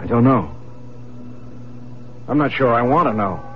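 A man's voice: medium pitch, expressive, a little slow, background noise, lightly acted. A man playing a casual, practical husband in a radio drama. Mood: uneasy. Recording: dull lo-fi radio recording.

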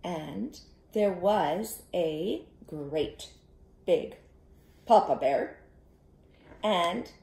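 A middle-aged woman telling an interactive story to young children, expressive and instructive, inviting them to join in, recorded in a living room. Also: lowish voice, very slow, creaky, long pauses, roomy sound. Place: small living room, indoors. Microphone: camera a short distance away.

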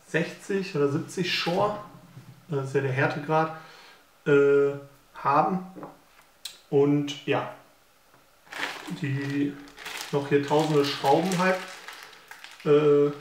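Young male vlogger, higher voice, a little nasal from a cold, eager and rambling; distant mic, roomy, faint hiss. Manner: rambling, excitable, many filler sounds. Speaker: unboxing video host. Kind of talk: casual unboxing commentary. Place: living room at a table.

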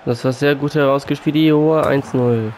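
A man with a cold and a sore throat, calmly commentating his own football video game match. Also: medium pitch, normal speed, a little hiss or hum. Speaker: gaming YouTuber. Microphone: USB condenser mic. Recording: faint game music behind.

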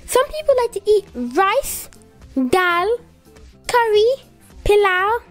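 A woman voicing an eager little child puppet, presenting slowly and carefully in a children's puppet show. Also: very high voice, lively intonation, faint music behind, voice pushed up, heavily acted. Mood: eager, informative. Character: little child puppet Elle, eager young geography helper.

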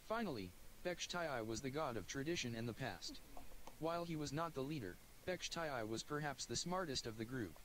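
A synthetic male voice narrates a visual novel in a flat, even read. Its pitch is mid-range, with a faint hiss underneath. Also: normal speed, poor audio. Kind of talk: text-to-speech game narration.

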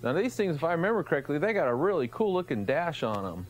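A middle-aged man hosting a TV show, chatting casually and asking quick, upbeat questions while walking through a large cluttered garage workshop. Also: on the high side, sing-song. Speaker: TV show host. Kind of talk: casual walk-through interview.

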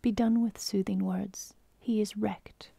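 A devoted wife mourns her drowned husband in a slow, hushed whisper, as a woman puts her on. The voice is husky and gravelly, with a mellow tone. It is dry and close, as in a storybook reading. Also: mid-range voice, steady tone, no echo. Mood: grief-stricken. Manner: soft, slow unvoiced whisper. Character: grieving young wife, desperate and devoted.

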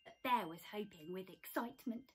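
A young woman reading a children's storybook aloud in a theatrical, dramatic, expressive style in a small room. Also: medium pitch, moderate pace, breathy edge, faint music behind. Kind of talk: expressive scripted storybook narration. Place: small decorated room at home.

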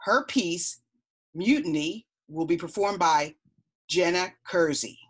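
A woman's voice: lowish voice, takes it slowly, breathy edge. A woman announcer reading a scripted festival introduction.

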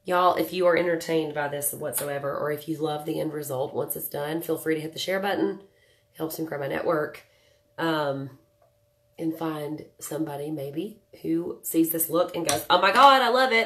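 Brief: thirties woman, lilt, low and stuffy-nosed from a cold, chatty webcam host. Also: steady tone, normal speed, a bit bright. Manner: chatty, joking, greets viewers by name. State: nasal voice from a cold. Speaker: makeup livestream host. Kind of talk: casual live makeup tutorial chat. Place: small home room indoors.

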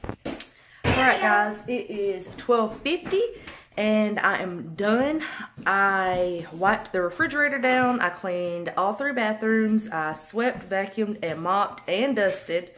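A woman's voice: medium pitch, lively intonation, takes it slowly, fairly bright tone, slight background noise. A young woman vlogging casually from her home kitchen after cleaning, talking in a rambling way full of ums, hot and sweaty. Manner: chatty, rambling with many ums. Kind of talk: casual cleaning vlog chat. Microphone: phone camera close up.

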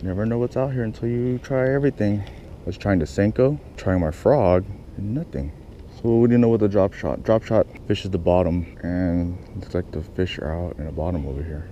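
Man, very low voice, casual fishing vlogger talking to himself, action-cam audio with clear outdoor noise. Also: moderate pace, dark tone, lo-fi sound. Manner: muttering to himself, swears often. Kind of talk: casual talk while fishing. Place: small kayak on a lake outdoors. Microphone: head-mounted action camera.

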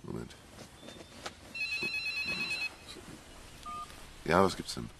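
A gruff, dogged detective in a radio crime play. His extremely deep, gravelly voice is blunt and sardonic, with clear ambient noise behind. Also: lively intonation, quick pace, fairly bright tone, full character voice. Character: gruff, dogged male police inspector. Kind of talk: German radio crime drama acting. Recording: noticeable background ambience.

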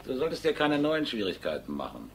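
An actor playing a menacing, sneering crook who mocks and threatens in a crime radio drama. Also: male voice, medium pitch, wildly swooping pitch, a little fast, slight background noise. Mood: mocking. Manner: mocking, threatening. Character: menacing, sneering male crook bullying his former lover.

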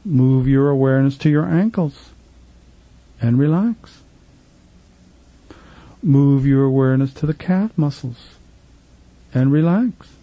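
A man leading a guided meditation as a spiritual teacher, gently instructing in a soothing, repetitive manner with trailing phrase endings, recorded in a studio. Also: mid-range voice, no echo. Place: professional studio.

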